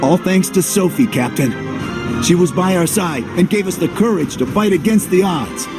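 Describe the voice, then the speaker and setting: An eager, high-voiced male soldier. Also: lively intonation, moderate pace. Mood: proud. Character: eager, loyal young male soldier reporting. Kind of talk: video game cutscene acting. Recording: music behind.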